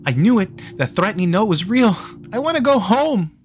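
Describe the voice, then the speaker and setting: Man playing hysterical young woman, pitched way up, shrill, screaming, visual novel horror, faint music. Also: very animated, fairly quick. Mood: panicked.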